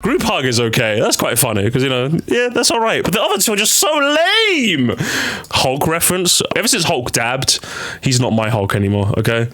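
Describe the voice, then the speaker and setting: A man with a bright, forceful voice streams casual commentary on a game. His pitch jumps around freely as he turns sarcastic, repeating phrases for effect and cursing along the way. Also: big pitch swings, normal speed. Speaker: streamer reacting to and ranking game voice lines.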